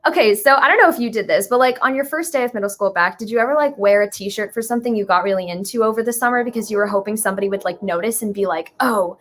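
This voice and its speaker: A woman's voice: mid-range voice, fast, few pauses. A young woman guest in a casual chat, telling informal, rambling stories full of "like". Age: young adult. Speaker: guest, writer-creator.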